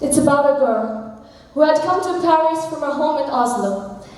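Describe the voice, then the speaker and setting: Far from the mic in a very echoey hall, an actress plays a lovelorn young woman on stage. The pitch is extremely high, the delivery earnest and guarded. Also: expressive, normal speed, a little mellow. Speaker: student actor in a school play. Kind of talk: stage drama acting. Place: large hall at a school stage event.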